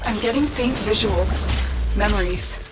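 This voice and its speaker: An actress playing a calm, focused female mission guide in a video game, in measured, clipped phrases. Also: mid-range voice, loud music behind the voice, close to an everyday voice. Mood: focused, serious. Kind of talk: scripted game mission briefing.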